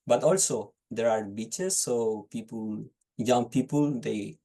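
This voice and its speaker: A young man with a Chilean accent speaks slowly, in a low, gravelly, mellow voice. He pauses and restarts phrases as he gropes for words. He sounds like he is on a video call. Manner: hesitant, restarts phrases while searching for words. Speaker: test candidate. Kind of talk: unscripted speaking-test answers.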